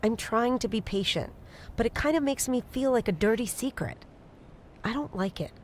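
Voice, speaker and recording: A woman's voice: on the high side, expressive, moderate pace, darkish tone, slight background noise, lightly acted. An actress playing a candid, wry woman in a secret romance, understated and direct, in an audio drama. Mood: hurt, patient. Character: wry, candid woman, patient but wounded secret lover. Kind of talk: naturalistic audio drama acting.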